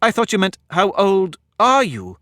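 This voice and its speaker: A man's voice: sits high, huge pitch swings, a little slow, pushed and bright, dry recording, clean studio sound, voice pushed up, exaggerated voice. A man voicing a polite, earnest young girl in a storybook reading.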